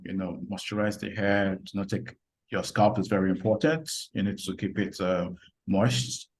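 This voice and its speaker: A man hosting an interview, asking questions in a halting way, restarting and repeating phrases. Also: fairly low pitch, darkish tone. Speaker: show host, interviewer. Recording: echoey room with faint background noise.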